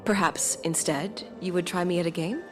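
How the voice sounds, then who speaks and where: Woman playing a measured, polite debater, low-voiced. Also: steady tone, over music, close to an everyday voice. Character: calm, formal woman, logical debater.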